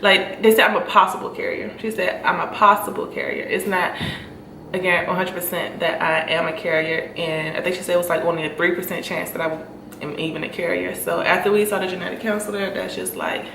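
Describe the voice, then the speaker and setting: A woman's voice: lowish voice, steady tone, fairly quick, gravelly. Chatty young woman, stuffy and breathless. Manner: chatty, lots of fillers and laughs. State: stuffy nose, short of breath. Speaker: vlogger. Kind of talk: casual chat to camera. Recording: echoey room, mic at a distance, noisy.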